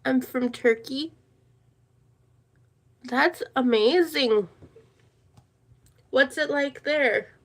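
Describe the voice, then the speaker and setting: A woman's voice: high voice, very slow, pauses often, a bit bright. A woman hosting a casual livestream, chatting in a rambling way and repeating her words. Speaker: livestream host.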